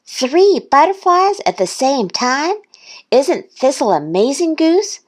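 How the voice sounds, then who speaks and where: Brief: female reader playing eager young duck, high-pitched and happily admiring, for a children's storybook. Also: very animated, slow, heavily acted. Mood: admiring, happy. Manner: enthusiastic, happy exclaiming.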